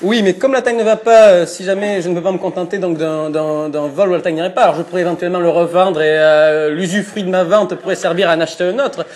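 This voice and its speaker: A man with a French accent making a prank phone call, mock-formal and deadpan, fighting back laughter. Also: high voice, quick pace, hardly any pauses. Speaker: prank caller.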